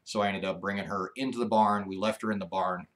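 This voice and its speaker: A man in his forties co-hosting a casual ranch livestream from a living room couch, talking in an easygoing, halting way with lots of fillers. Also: fairly low pitch, steady tone, a bit bright. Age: 40s. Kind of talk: casual live chat.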